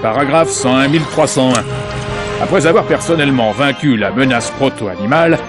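A man's voice: mid-range voice, a little fast, natural voice. An actor playing a vain, boastful male superhero who speaks pompously. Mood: self-important. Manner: pompous, self-important. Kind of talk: dubbed video game cutscene. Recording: game audio over loud music.

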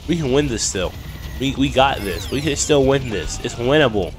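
A man's voice: medium pitch, lively intonation, normal speed. A young man with a stuffy, sick-sounding voice chatting casually to viewers while he plays, recorded on a desk mic at home. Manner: chatty, talks to himself and viewers while playing. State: stuffy from a cold. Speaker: gaming streamer. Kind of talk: casual gameplay commentary. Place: home room. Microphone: desk mic with headset. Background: game music and sound effects.

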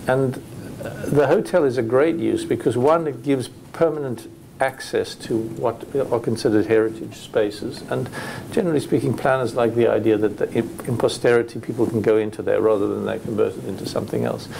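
A man's voice: mid-range voice, fairly even intonation, brisk, creaky, mellow, slight background noise, low-quality recording. A man speaking as a panelist at a hotel industry conference, thoughtful and hesitant with many restarts.

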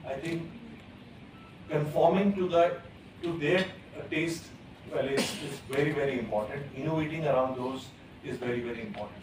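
A man, speaking as a panelist at a conference in a measured way, with many pauses and fillers. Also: fairly high pitch, background noise. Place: large conference hall, at a panel table. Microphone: table gooseneck mic. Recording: echoey hall, distant from the mic.

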